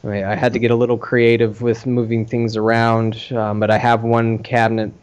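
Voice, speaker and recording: Smooth-voiced man, casual and rambling. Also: mid-range voice, level tone, fairly quick. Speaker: podcast host and arcade owner. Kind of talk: casual podcast chat.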